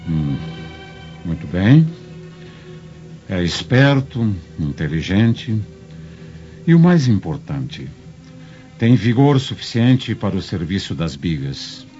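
A self-satisfied Roman patriarch in a radio drama. The voice is lowish and mellow, with animated swings in pitch, on a dull recording. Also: male voice, takes it slowly, long pauses, full character voice. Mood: appraising. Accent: Brazilian. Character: wealthy Roman father and master, self-satisfied. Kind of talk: theatrical radio drama acting. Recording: dull lo-fi audio with faint music.

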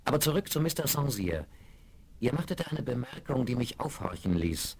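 An actor playing an earnest, courteous gentleman adventurer in a children's western radio play, polite and expressive in speech. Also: medium pitch, fairly quick, creaky. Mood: earnest, wary. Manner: polite, expressive, well-spoken. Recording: faintly crackly vinyl radio play rip.

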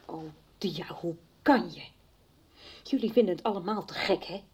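A woman's voice: lowish voice, moderate pace, a little hiss or hum, natural voice. An actress playing a woman hotly defending a dead friend against her friends' judgement. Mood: defensive. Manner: emotional, defensive. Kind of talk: 1990s radio soap acting.

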